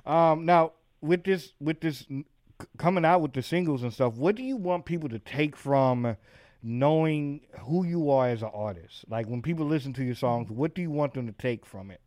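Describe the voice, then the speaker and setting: A man co-hosting an interview, asking questions in a halting way full of restarts and slang. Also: on the high side, a little mellow, dry recording, clean studio sound. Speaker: co-host. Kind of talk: casual interview chat.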